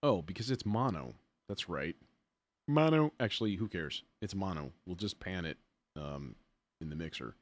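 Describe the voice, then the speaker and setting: A man with a low voice talks through a music software demo on a livestream. He is informal and a bit self-deprecating, often muttering to himself as he works things out. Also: lively intonation, moderate pace. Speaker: livestream host, music producer demonstrating software. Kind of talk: casual livestream demo, thinking aloud.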